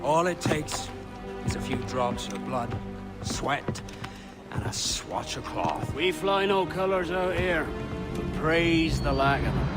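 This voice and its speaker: A man acting a commanding, idealistic veteran pirate captain in a game cutscene, with a grand, declamatory delivery. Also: medium pitch. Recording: loud music behind.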